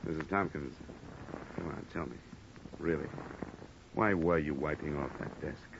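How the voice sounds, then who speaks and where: An American actor playing a cool, wisecracking hardboiled investigator in an old-time radio noir, with a dry, deadpan delivery. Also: male voice, a bass voice, unhurried, creaky, background noise, full character voice. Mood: calming. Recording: dull vintage radio recording, music behind.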